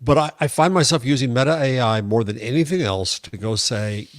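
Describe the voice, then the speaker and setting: A man's voice: medium pitch, a little fast, no echo. Older man co-hosting, wry, dry close sound. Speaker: podcast co-host. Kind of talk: casual opinionated discussion.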